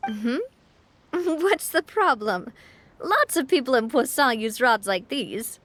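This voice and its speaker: A woman's voice: a little high, lively intonation, slow pace, no room sound. A woman voicing a cheerful, confident young noblewoman who laughs easily and turns wistful when reflecting, in a video game. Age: young adult. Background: soft game music.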